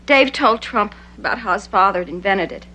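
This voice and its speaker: An actress playing an anxious, desperate young American informant in a 1960s television crime drama. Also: female voice, mid-range voice, lively intonation, brisk, bright, forceful tone. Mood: regretful, confiding. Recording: noisy old TV soundtrack with hum.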